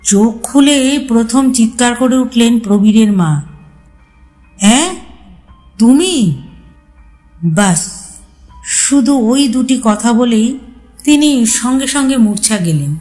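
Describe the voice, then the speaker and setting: A woman narrates a horror story in a dramatic, scripted style. Her voice sits fairly high and she takes her time with each phrase. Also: lively intonation, measured pace, darkish tone, faint music behind.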